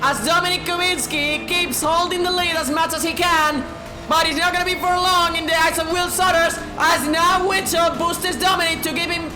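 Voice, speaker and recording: A woman commentating a sim racing event with lots of swearing, shouting at big moments and dropping low to spare her sore throat. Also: steady tone, normal speed. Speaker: race commentator. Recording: echoey room with music under it. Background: background music.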